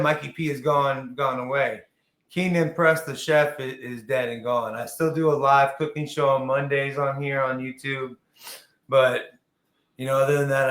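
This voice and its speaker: A man's voice: on the high side, a bit bright. Rambling, slangy man in an echoey room. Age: early 40s. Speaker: podcast host. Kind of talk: casual chat interview. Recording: echoey, roomy sound.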